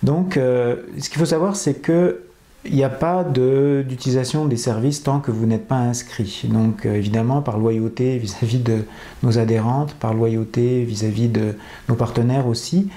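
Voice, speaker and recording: A man presenting a dating agency and explaining its process to viewers, pausing often with fillers. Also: medium pitch, steady tone, dark tone, reverberant. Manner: hesitant, many fillers.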